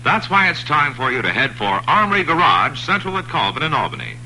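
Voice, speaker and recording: Male radio ad voice, forceful and animated, faint hiss. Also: fairly high pitch, a little fast, low-quality recording. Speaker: ad announcer. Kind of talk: radio commercial read.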